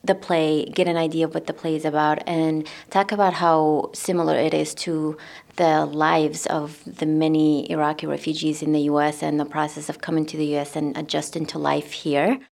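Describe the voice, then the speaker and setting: Low gravelly young woman, hesitant. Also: little pitch movement, normal speed, a little mellow. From Iraq. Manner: hesitant, many fillers. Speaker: interviewee, volunteer. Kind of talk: casual interview answers.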